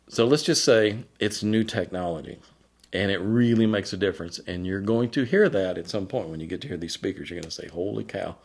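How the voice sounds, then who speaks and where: Male product designer, fairly deep voice, informal explanatory presenter, enthusiastic and colloquial.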